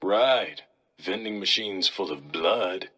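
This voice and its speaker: An actor playing a laid-back, wry military man in a video game, with a husky voice and casual delivery. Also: deep voice, slow, bright, forceful tone, full character voice. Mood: easygoing. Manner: casual, wisecracking. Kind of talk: video game cutscene acting.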